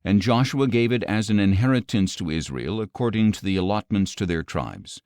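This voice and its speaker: A man narrating scripture as a scripted audiobook, reading in a measured, solemn manner. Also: lowish voice, animated, a little mellow, dry recording, studio quality.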